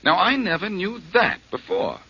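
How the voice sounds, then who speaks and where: A man's voice: sits high, very animated, moderate pace, pushed and bright, breathy edge, exaggerated voice. An actor playing a breezy, amused male detective in a 1940s radio drama, recorded on a muffled old broadcast. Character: wry, cocky male detective hero.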